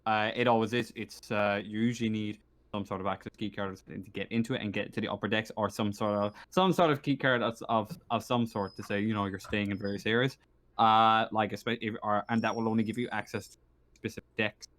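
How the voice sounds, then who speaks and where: A man narrating a tabletop roleplaying game as game master, speaking haltingly and repeating phrases as he improvises. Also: on the low side, steady tone, fairly bright tone. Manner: halting, repeats phrases while working out descriptions.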